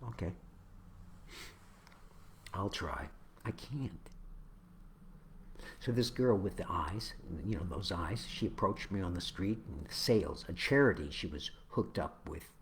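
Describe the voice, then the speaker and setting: Male poet, spoken-word reading, slow pace, animated wide-ranging pitch, deliberate halting phrasing, dramatic dynamics. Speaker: poet reading own poem.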